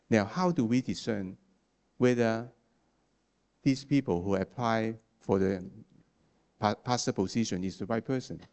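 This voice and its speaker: Halting non-native preacher. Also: male voice, fairly low pitch. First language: not English. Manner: halting, many restarts and fillers; ends points with "okay?". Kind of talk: sermon.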